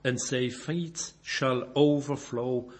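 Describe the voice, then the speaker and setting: A man from Belgium, a native French speaker with a Belgian French accent, preaching and reading scripture in halting English, searching for words and correcting himself. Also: medium pitch, fairly even intonation, slightly rough. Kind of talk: informal Bible sermon with scripture reading.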